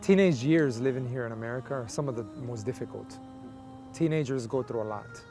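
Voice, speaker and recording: A man's voice: medium pitch, fairly quick, mellow, soft background music. A middle-aged man from Colombia who grew up in Texas, giving a personal testimony as an interview guest. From Colombia, raised in Houston, Texas from age eight. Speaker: interview guest telling his life story.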